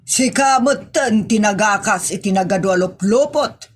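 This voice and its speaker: A woman's voice: medium pitch, measured pace, slight background noise, natural voice. A woman playing an exasperated, complaining woman in an Ilocano comedy drama, with an Ilocano accent and an emotive, scolding delivery. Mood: questioning, nagging. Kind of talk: Ilocano comedy radio-style drama acting.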